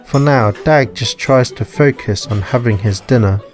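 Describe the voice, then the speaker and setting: A man narrating a scripted story in a measured, formal reading style. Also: medium pitch, faint music behind. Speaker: narrator and author.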